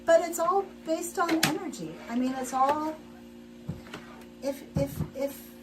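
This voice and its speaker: A woman's voice: sits high, slow pace, music in the background, poor audio. A middle-aged woman chatting casually as she sews on a livestream, rambling with frequent restarts and laughs, in her sewing room at home. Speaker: livestream host. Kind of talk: casual chat while sewing.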